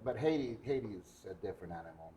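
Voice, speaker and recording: A man's voice: on the low side, expressive, fast talker, dark tone. Podcast host guy from guatemala, chatty, roomy echo, little hiss behind. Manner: conversational, tells anecdotes. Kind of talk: casual interview chat.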